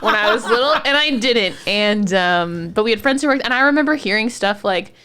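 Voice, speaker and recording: A woman co-hosting a podcast in casual chat, animated, laughing often and swearing freely. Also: mid-range voice, brisk, smooth. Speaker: podcast co-host.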